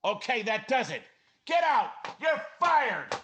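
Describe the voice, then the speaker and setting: An actor playing a brisk, commanding newspaper editor in a 1950s-style radio drama, crisp and authoritative. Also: male voice, very high-pitched, big pitch swings, heavy background noise, full character voice. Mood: angry. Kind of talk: 1950s radio drama acting with first-person narration.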